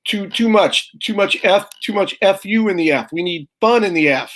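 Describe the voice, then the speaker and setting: A man's voice: sits high, normal speed, a bit bright. A man, a guest comedian, firing off brash stand-up jokes on a video call and calling the host brother. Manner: brash, punchy, calls people "brother". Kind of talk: stand-up style joke telling.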